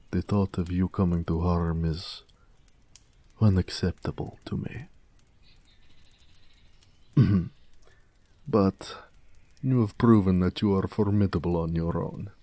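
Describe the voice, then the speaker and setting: Male actor as a tender orc blacksmith, low dark voice, mellow, soft-spoken, wistful, romantic audio drama. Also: dry recording. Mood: conflicted, tender. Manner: soft, measured, tender delivery with hushed moments. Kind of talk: intimate romantic audio roleplay.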